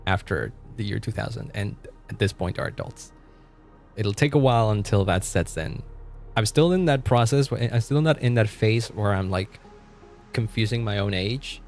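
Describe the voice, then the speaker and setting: Guy in his twenties rambling to his stream chat, laughs a lot, slightly low voice, quiet music behind. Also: normal speed. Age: 20s. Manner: rambling and chatty, laughs often, trails off mid-sentence. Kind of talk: casual live-stream chat, reacting to chat and game.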